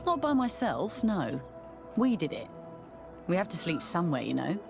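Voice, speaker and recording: A woman's voice: on the low side, sing-song, normal speed, vocal fry, over music. An actress playing a hardworking, plain-spoken woman of a mining village in a video game, matter-of-fact and colloquial. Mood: hopeful. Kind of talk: scripted video game dialogue.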